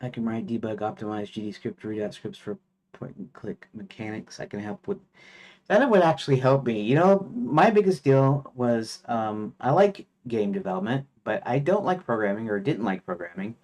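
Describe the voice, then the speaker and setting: A man chatting casually while streaming his game development, rambling with lots of fillers and restarts, sometimes reading lists aloud in a flat mutter. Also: medium pitch, normal speed.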